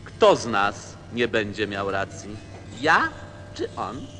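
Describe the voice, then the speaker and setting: Slow, swooping pompous king. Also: fairly low pitch, very animated, pushed and bright, exaggerated voice. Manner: grandiose, hesitant, measured with sudden swoops. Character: pompous lonely king on a tiny planet, craving obedience. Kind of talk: theatrical radio play acting. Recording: long reverb over music.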